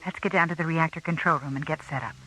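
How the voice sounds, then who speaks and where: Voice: mid-range voice, fairly even intonation, fast talker, music in the background, close to an everyday voice, low-quality recording. An actor playing an earnest, practical starship officer in a science fiction radio drama, urgent and questioning.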